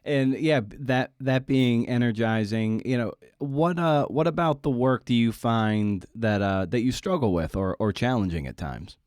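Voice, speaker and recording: A man with a mid-pitched voice hosts a conversational interview in a very dry acoustic. He works tentatively toward his questions, stammering as he phrases them. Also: moderate pace, hardly any pauses, darkish tone, clear, resonant tone, dry recording. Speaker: podcast host, interviewer.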